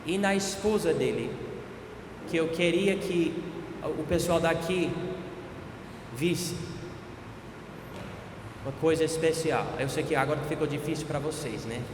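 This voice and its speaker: American pastor guy w/ accent, chatty intro, roomy church echo and hiss. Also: on the high side. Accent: American-accented Portuguese. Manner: friendly, informal, joking. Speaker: host pastor. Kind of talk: introducing the guest preacher. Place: church hall. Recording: echoey hall with reverb and background noise.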